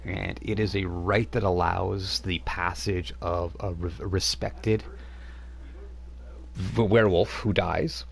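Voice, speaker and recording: A man's voice: sits low, fairly even intonation, takes it slowly, gravelly. A man narrating as game master in a live-streamed tabletop game, rambling with many fillers. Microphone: home microphone on a live stream.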